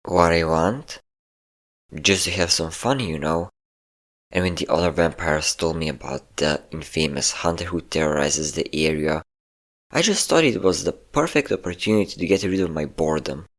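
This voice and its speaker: Man acting as ancient male vampire, low-pitched voice, flirtatious, taunting, menacing, intimate audio roleplay. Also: natural voice. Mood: teasing, flirtatious. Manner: taunting, flirtatious, slow endearments.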